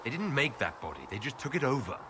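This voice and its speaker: An actor playing a stern, questioning leader in a fantasy video game, firm and skeptical. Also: male voice, mid-range voice, very animated, fast talker, background noise, lightly acted. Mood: debating. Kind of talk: video game character dialogue.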